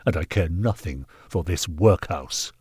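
A man's voice: sits low, animated, moderate pace, husky, no room sound, studio quality. A man voicing a haughty, bullying Victorian knight in a storybook reading, pompous and scornful. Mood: contemptuous, angry. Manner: pompous, forceful, contemptuous. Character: haughty, callous, bullying Victorian gentleman knight, angry benefactor. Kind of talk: dramatised audiobook character reading.

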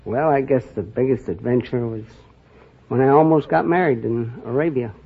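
Male American contestant, folksy storyteller, husky edge, dull vintage radio sound. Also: mid-range voice, moderate pace. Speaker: quiz show contestant, former sailor. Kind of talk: casual storytelling. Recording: muffled old-time radio with audience. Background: studio audience.

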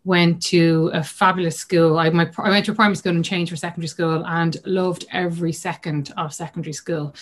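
Chatty Dublin woman, low voice. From Ireland. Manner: chatty, many fillers, acts out quoted dialogue. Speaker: guest coach. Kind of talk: casual interview chat.

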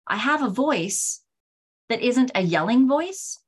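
A woman in her forties hosting a podcast, talking candidly in a rambling way, with many restarts and a pace that swings between slow and hurried. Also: a little high, lively intonation, husky. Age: 40s. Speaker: podcast host. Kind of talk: casual solo podcast talk.

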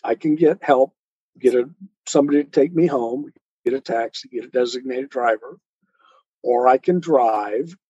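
A man's voice: mid-range voice, normal speed, warm tone, husky. A man speaking as an interview guest, earnestly explaining spiritual ideas step by step in a didactic way. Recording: muffled, roomy, lo-fi sound.

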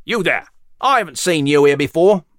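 A man's voice: on the high side, expressive, normal speed, a bit bright, dry recording, clean studio sound, pitched above the performer's own voice. A man voicing a brash, chatty male prison guard in a storybook. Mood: challenging.

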